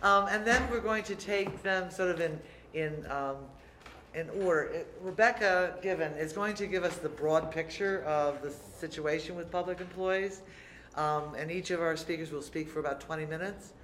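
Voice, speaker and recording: A man moderating a university panel in a relaxed, loose way, with many fillers. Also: a little high, moderate pace. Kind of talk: casual introductions at a panel. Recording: echoey room, distant mic, faint noise.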